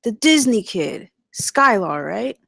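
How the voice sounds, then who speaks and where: A woman's voice: medium pitch, sing-song, takes it slowly, gravelly, fairly bright tone, no room sound, clean studio sound, full character voice. A woman reading the part of a brash, cynical male executive in a script table read, with a blunt, chummy manner. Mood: brash, surprised.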